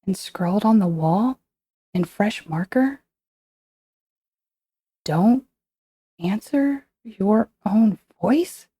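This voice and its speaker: A woman playing a wary lone scavenger logging a report from a ruined bunker in a slow, halting audio-drama delivery, her voice husky and creaky. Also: medium pitch, animated, mellow, no room sound, full character voice. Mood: tense, guarded.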